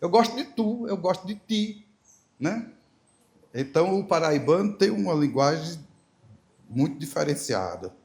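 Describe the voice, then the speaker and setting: A middle-aged male preacher with a Paraíba accent and a fairly high voice. He tells homely stories with playful asides. He hammers his points home through emphatic repetition. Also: animated, a little slow, long pauses, a little mellow. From Paraíba, Brazil. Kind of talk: church talk with Bible reading.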